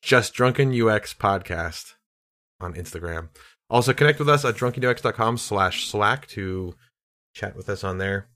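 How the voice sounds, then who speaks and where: A man co-hosting a casual podcast, joking around and laughing often with quick asides. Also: lowish voice, fairly bright tone. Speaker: podcast co-host.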